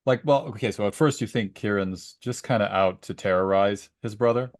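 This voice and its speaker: Male podcast co-host, lowish voice, dry close sound, conversational storyteller who slips into quoted dialogue. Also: moderate pace, dry recording. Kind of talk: casual book discussion with personal anecdotes.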